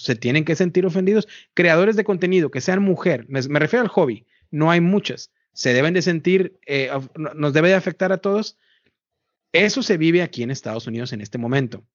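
A man's voice: on the high side, expressive, brisk, no echo. Brief: male podcast host, chatty and questioning, dry muffled sound. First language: Spanish. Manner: chatty, asks questions, repeats words. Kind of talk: casual podcast conversation. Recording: dull, muffled dry audio.

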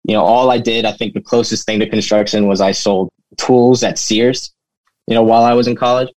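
Casual man with a lowish, dry-recorded voice. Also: fairly even intonation, fairly quick, a little mellow, dry recording, low-quality recording. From United States, born in Turkey. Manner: informal, peppered with "you know". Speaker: interview guest, flooring business owner. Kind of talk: casual personal storytelling.

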